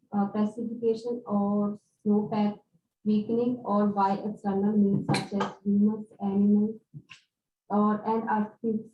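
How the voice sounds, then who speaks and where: A female student reads aloud in an exam class, hesitant in places. Her delivery is flat, and the sound is distant and echoey with some background noise. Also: mid-range voice. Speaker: student in test preparation class. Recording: distant, dull, echoey, noisy room sound.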